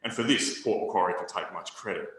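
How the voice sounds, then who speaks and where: A man giving a history talk to an audience, in a measured academic way with frequent restarts. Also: low-pitched, a bit bright. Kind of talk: lecture with audience Q&A. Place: hall with audience. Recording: very echoey room recording.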